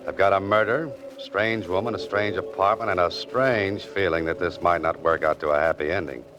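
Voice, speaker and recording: An actor playing a wry young fiction writer caught up in crime, in 1940s radio style with an American accent and a sardonic, hard-boiled delivery. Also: male voice, low voice, steady tone, a little fast, highs cut off, music in the background, natural voice. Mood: wry, brooding. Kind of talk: 1940s radio acting, first-person noir narration.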